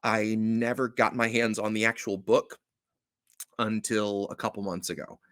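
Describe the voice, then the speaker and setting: A man's voice: on the low side, little pitch movement, a little slow, a bit bright, no echo, studio quality. A man hosting a show, chatting casually and off the cuff. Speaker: show host. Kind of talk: casual introductory chat.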